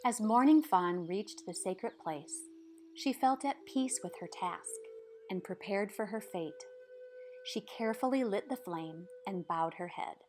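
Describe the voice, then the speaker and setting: A woman narrating a storybook aloud in a measured, expressive way, with dramatic pauses. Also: mid-range voice, slight background noise. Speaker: storybook reader. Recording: music behind the voice. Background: background music.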